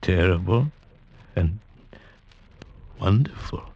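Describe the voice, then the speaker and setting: An actor playing an anguished, awestruck mountaineer, telling his ordeal in a poetic, measured, intense 1940s radio drama style. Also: low voice, lively intonation, heavily acted. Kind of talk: 1940s radio drama acting, first-person narration. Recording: dull vintage radio recording with music. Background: dramatic orchestral score.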